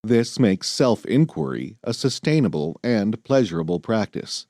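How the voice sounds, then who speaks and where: Man, audiobook narrator, measured and earnest, expressive rise and fall, very dry close recording. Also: lowish voice, dry recording. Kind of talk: scripted nonfiction audiobook narration.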